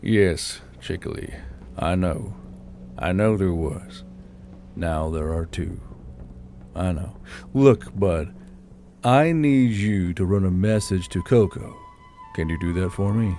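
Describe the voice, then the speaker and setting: A man voicing a gruff, weary but caring military commander with terse, clipped delivery in an audiobook. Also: low-pitched, takes it slowly, long pauses, warm tone, soft background music, voice pushed down. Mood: regretful, subdued. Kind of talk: audiobook character acting.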